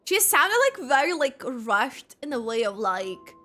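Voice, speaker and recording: A woman game streamer giving excitable, halting reaction commentary as she plays. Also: a little high, faint music behind. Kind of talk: live gameplay reaction commentary.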